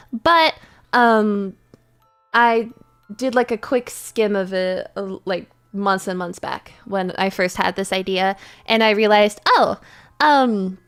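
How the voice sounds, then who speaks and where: A woman's voice: medium pitch, fairly bright tone, clear, resonant tone. A woman hosting a casual livestream, chatting to viewers in a playful, giggly, rambling way. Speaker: livestream host and voice actor.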